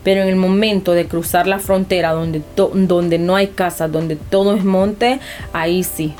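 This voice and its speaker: Low-voiced Honduran woman telling her story. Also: fairly even intonation, measured pace, darkish tone, soft background music. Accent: Honduran Spanish. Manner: explanatory, often addresses the listener directly. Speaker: interview guest. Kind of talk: personal storytelling in casual conversation.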